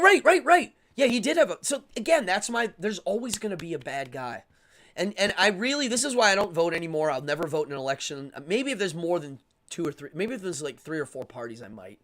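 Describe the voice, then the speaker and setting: A man hosting a live stream, talking casually and opinionatedly as he answers chat, rambling and repeating words in quick bursts. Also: high-pitched, a bit bright. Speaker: live-stream show host.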